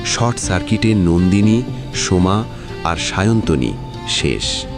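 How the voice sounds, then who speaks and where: Deep-voiced man narrating suspense dramatically. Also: animated, takes it slowly, music in the background.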